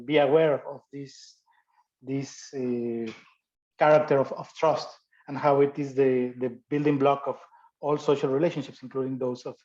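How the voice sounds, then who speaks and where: A man whose first language is not English, giving an academic talk and answering questions, speaking haltingly with many restarts and fillers. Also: mid-range voice, a little mellow, dull audio. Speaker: guest speaker.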